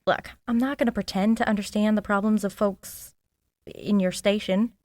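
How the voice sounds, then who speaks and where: An actress playing a frank, nosy working-class maid in a 1920s period audio drama, with a folksy, plain-spoken manner. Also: female voice, mid-range voice, steady tone, moderate pace, a little mellow, dry recording, clean studio sound, close to an everyday voice. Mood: frank, probing. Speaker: actor.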